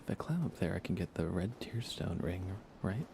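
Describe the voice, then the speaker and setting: A man softly talking to himself while livestreaming a video game in a hushed, casual ASMR style, hesitant and musing. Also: sits low, sing-song, moderate pace, gravelly, background noise. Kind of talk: ASMR gaming commentary, hushed casual chat.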